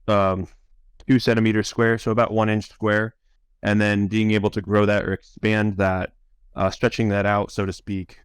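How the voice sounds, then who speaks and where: Man, lower voice, smooth and clean tone, dry sound, measured conversational explainer, Q&A style. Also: no room sound. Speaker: chief science officer answering donor questions. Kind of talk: informal explanatory Q&A on a conference call.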